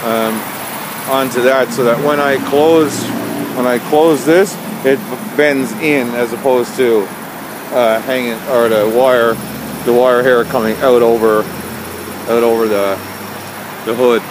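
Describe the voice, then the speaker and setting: Halting man, noisy casual walkthrough. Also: medium pitch, fairly even intonation. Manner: halting, hesitant delivery with frequent pauses. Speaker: amateur vlogger showing an installation. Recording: clear car and outdoor background noise.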